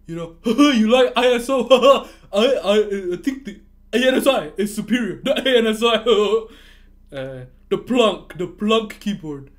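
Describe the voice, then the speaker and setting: A man's voice: high voice, expressive, a little slow. A man whose first language is not English, chatting casually on a livestream, ranting animatedly and swearing freely.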